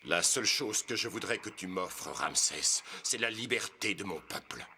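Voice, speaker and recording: A man voicing a resolute, solemn prophet in an animated film, speaking gravely and earnestly for his people. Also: low-pitched, moderate pace, noisy background. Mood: firm.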